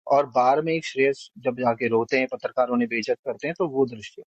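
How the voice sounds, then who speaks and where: A man speaks as a film review panelist, working through his assessment point by point. His voice sits at a middle pitch, and the recording is dry and slightly dull, with little room sound. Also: dry recording.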